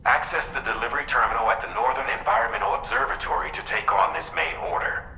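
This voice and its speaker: Businesslike mission handler, very forceful sharp tone, echoey radio sound with faint noise. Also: male voice, medium pitch, expressive, fast. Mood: official. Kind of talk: video game radio briefing.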